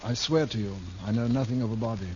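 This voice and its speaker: Guy doing a pompous old-time shakespeare actor, flowery and halting, dull noisy vintage radio sound. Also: medium pitch, a little mellow, natural voice. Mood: hesitant, evasive. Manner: florid, declamatory, halting. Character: theatrical, grandiloquent travelling Shakespearean actor, male, evasive. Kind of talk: 1950s radio drama acting.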